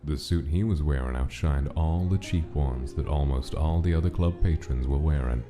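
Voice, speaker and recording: A man's voice: rumbling low, moderate pace, music in the background. A man reading scripted narration of a gritty gangster-era story in a comic dub. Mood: brooding, measured.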